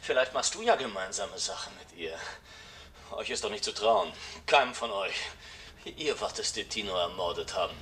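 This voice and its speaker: Casting: hot-tempered, menacing crook in a radio crime play, swoopy and animated, faintly noisy recording. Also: male voice, fairly low pitch, normal speed, distant mic. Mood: accusing, menacing. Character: hot-tempered, suspicious male crook named Rodney, lovestruck and menacing. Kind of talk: German radio crime drama acting.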